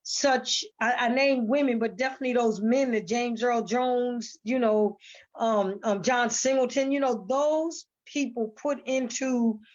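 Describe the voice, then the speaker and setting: A woman with a fairly high voice talks casually in a panel conversation. She is chatty and emphatic, circling back to repeat words and phrases. The recording is somewhat dull and muffled. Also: steady tone, unhurried. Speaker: stage actress interviewed about a play.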